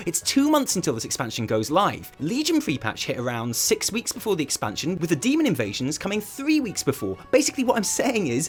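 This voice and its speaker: A British man hosting a gaming news show with lively scripted commentary, theatrical and sarcastic in delivery. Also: fairly high pitch.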